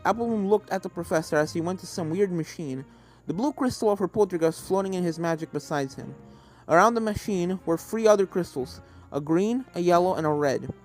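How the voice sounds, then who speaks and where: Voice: medium pitch, lively intonation, a little fast, darkish tone. Storybook narrator over game music. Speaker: fan fiction narrator. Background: video game background music.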